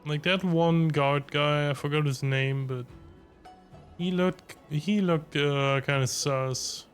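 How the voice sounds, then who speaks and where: Male streamer, unhurried slow delivery, casual, hesitant, informal game commentary, faint music behind. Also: on the high side, steady tone. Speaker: streamer reacting to a game story.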